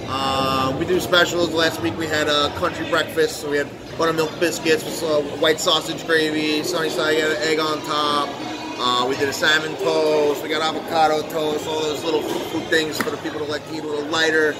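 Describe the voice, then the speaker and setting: A man, a restaurant chef talking fast and eagerly in a casual interview in a large busy restaurant. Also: a little high, little pitch movement, poor audio. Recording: loud background music.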